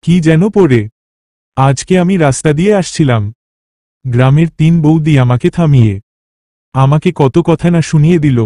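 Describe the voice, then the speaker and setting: A complaining young village son and husband, played by a man, argues in cartoon dialogue. His voice is fairly high for a male and soft-edged, recorded dry, with a grumbling delivery. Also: normal speed, long pauses, dry recording, natural voice. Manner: grumbling, argumentative.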